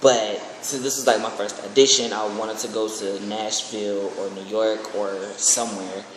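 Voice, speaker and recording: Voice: medium pitch, normal speed. Echoey, rambling young vlogger chatting casually. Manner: rambling, excitable. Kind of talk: casual chat to camera. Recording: echoey room with clear background noise.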